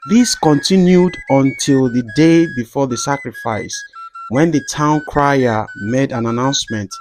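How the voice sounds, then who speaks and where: A male storyteller narrates a scripted folktale at a middling pitch. His delivery is measured and dramatic, shaped for storytelling. Music plays behind his voice. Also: darkish tone. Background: background music.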